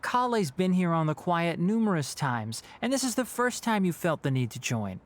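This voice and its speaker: A man voicing a blunt, sharp-witted young companion in a video game, dry and teasing in manner. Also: high-pitched, sing-song, moderate pace.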